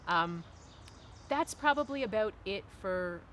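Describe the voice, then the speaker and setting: A woman's voice: mid-range voice, expressive, takes it slowly, a bit bright, slight background noise. A woman in her forties from Cape Breton, Canada, giving thoughtful, emphatic, conversational answers as an interview guest. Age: 40s. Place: outdoors on a wooden bench by woods.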